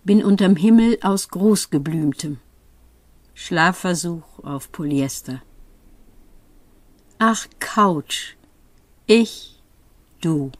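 A woman reading poetry aloud in an expressive literary style, with measured, deliberate phrasing and pauses. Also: lowish voice, dry recording. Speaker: poetry reader.

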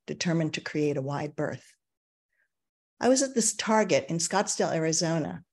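An older American woman reads her personal essay aloud on a video call from a home study, measured and reflective, with emphatic turns. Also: sits low, a little mellow. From United States. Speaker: essayist reading her work.

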